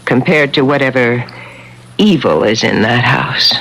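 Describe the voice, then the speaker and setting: A woman's voice: rumbling low, a little slow. An actress playing a firm, formal, grave woman real estate agent in a 1970s radio drama. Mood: grave, foreboding. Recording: vintage radio broadcast, faint noise.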